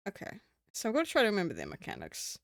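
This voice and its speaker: Gravelly Kiwi streamer, lively voice. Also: mid-range voice, very fast, no room sound, studio quality. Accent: New Zealand. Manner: rambling and swearing, with frequent laughs. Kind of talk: casual live-stream chat.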